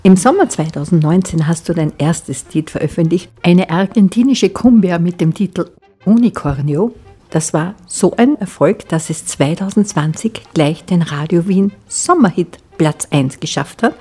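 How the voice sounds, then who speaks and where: A woman's voice: on the low side, normal speed, mellow, husky. A woman with an Austrian accent hosts a radio interview in a playful, colloquial way. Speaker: radio host. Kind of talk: lively casual interview. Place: radio broadcast. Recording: music behind the voice. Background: music.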